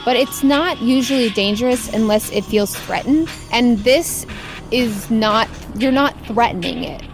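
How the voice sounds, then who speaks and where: Higher-voiced woman running a tabletop game. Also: unhurried, soft background music. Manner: encouraging, informal, slangy. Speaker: game master. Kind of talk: casual improvised tabletop game narration.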